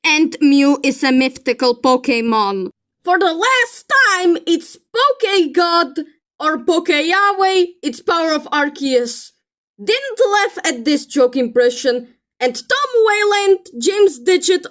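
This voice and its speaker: A woman's voice: very high voice, lively intonation, takes it slowly, a bit bright. A woman giving opinionated commentary in an exasperated, emphatic manner. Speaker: video creator. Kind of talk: commentary reacting to a film critique.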